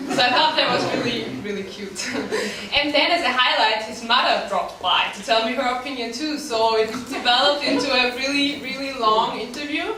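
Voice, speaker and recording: A woman's voice: on the high side, noisy background. A young woman with an Austrian accent, speaking German as her first language, gives a short prepared talk as a staff writer, earnest and dotted with ums. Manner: earnest with light laughs and many ums. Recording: echoey room, voice from a distance.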